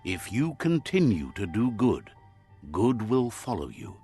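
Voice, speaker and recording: A man playing the wry, sage narrator of an animal fable game, telling it in a measured, philosophical storybook style. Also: lowish voice, very animated, warm tone, faint music behind. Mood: reflective, sage.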